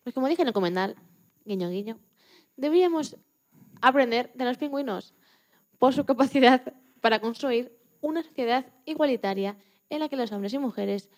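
A woman's voice: a little high, animated, normal speed, long pauses, darkish tone. A woman speaking as an interview guest, explaining things in her answers.

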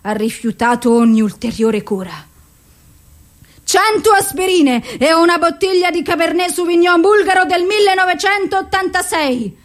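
Radio drama: female clinical reporter, very high voice, clipped theatrical recital of symptoms and doses. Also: animated, normal speed. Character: clinical female voice reciting a psychiatric case file.

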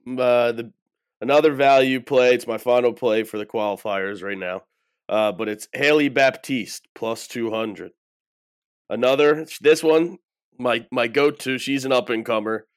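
A man on a betting panel giving his picks in a steady, matter-of-fact way. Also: medium pitch, unhurried, clean tone, dry recording, clean studio sound. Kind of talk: casual panel chat.

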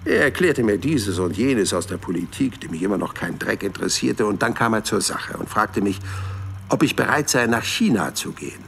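A man's voice: lowish voice, lively intonation, fairly bright tone, slight background noise. An actor playing a hundred-year-old man who recalls his adventures in a wry, colloquial audio drama narration. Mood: reminiscing. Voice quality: gravelly, creaky. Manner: wry, unhurried, colloquial storytelling.

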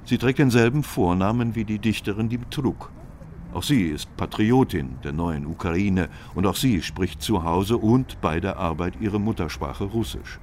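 A man reading scripted documentary narration in a measured, even way. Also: lowish voice, a little hiss or hum.

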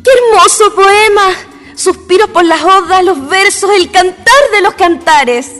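Dreamy lovestruck young, radio drama, extremely high, gushing, reverberant. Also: female voice, a little slow, a bit bright. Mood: enraptured. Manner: gushing, sighing. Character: dreamy, lovestruck young woman swooning over poetry. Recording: long reverb, music behind.

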